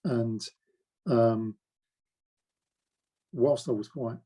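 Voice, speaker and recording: A man in his forties speaks slowly and reflectively, stammering through blocked sounds and repeated words. His voice is mellow and a little husky, and he often stops to restart a thought. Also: medium pitch. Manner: hesitant, many fillers and restarts. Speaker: interviewee. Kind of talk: reflective personal account.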